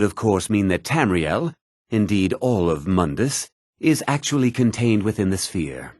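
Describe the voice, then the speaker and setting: Casting: learned college mage for a fantasy game. Lowish, animated pitch, measured and formal delivery. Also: male voice, no echo, studio quality. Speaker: video game character. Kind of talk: scripted fantasy game dialogue.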